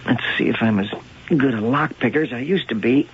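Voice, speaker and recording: An actor playing an earnest, prying police lieutenant in a radio mystery drama, conversational and insistent. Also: male voice, medium pitch, a little fast, natural voice. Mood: curious, muttering. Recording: dull, hissy 1980 radio broadcast.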